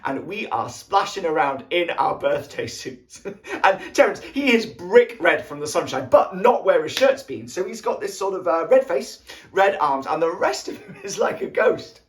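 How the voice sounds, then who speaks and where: A man playing a wistful British soldier of the First World War, reminiscing tenderly in an earthy, halting stage monologue. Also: sits high, big pitch swings. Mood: amused, animated. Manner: colloquial, earthy, halting storytelling. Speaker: actor performing an audition monologue.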